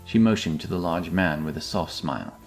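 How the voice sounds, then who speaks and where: Guy narrating a fantasy tale, low n gravelly, dramatic and measured, faint music behind him. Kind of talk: scripted fantasy story narration.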